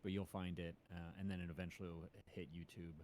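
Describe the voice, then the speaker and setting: A man's voice: sits low, level tone, quick pace, darkish tone. A man co-hosting a podcast, explaining things in casual chat. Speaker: podcast co-host.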